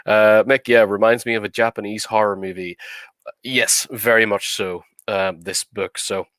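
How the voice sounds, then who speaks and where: Low-voiced man with a cold. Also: moderate pace, dry recording. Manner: relaxed, chatty, many fillers. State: has a cold. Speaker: livestream host. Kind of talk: casual live-stream chat.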